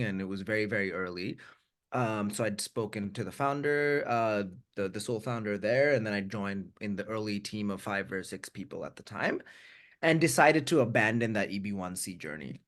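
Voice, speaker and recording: Low-voiced frank man telling his story. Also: moderate pace. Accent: American. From India. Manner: frank, reflective, frequent restarts and repeated words. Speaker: guest. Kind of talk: candid conversational storytelling.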